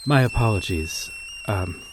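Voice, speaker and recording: A man's voice: on the low side, lively intonation, a little slow, voice pushed down. Man playing a courteous vampire, noisy ambience. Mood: courteous, grateful. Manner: formal, polite, hesitant, apologetic. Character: courteous, gentle male vampire stranger, kindly and old-fashioned. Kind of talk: intimate ASMR roleplay acting.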